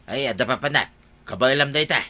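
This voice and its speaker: A man's voice: mid-range voice, brisk, pushed and bright. A man playing a lazy, complaining farmer full of excuses in an Ilocano comedy radio drama, with an Ilocano accent. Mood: evasive.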